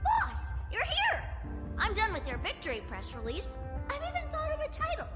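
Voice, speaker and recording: A woman voicing a tiny, excitable, panicky creature reporter in a video game, squeaky and stammering with dramatic exclamations. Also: normal speed, exaggerated voice. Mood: excited. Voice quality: squeaky, childlike. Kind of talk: video game character acting. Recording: long reverb, music behind.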